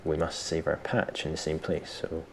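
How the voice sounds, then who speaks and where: A man presenting a casual software tutorial, thinking aloud in an informal, self-deprecating way, with some background noise in the recording. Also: rumbling low, little pitch movement, normal speed.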